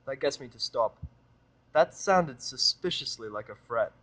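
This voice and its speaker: Man, fan fiction narrator, middle pitch, dramatic first-person storytelling, audio drama reading. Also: animated, measured pace, bright, forceful tone, rough recording.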